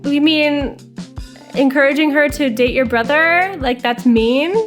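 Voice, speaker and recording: A woman with a fairly high voice plays her part in a tabletop game and hosts the show, talking in a playful, teasing way. Faint music sits behind her. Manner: playful, teasing, quick to laugh. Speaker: podcast host and player. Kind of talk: tabletop game play and podcast announcements.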